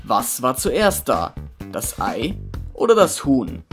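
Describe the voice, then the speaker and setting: A man's voice: medium pitch, huge pitch swings, slow, soft background music. A man of 26 playfully hosting a Q&A video and reading out viewer questions, with a tongue-in-cheek manner. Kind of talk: upbeat scripted Q&A video presenting.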